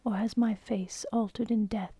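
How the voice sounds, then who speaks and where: A woman's voice: on the high side, steady tone, slightly rough, no room sound. Drowned husband's ghost, as a whispering woman puts him on. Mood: mournful pleading. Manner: soft, slow unvoiced whisper. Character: drowned husband's ghost, a dream god in a man's shape, sorrowful. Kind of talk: whispered storytelling.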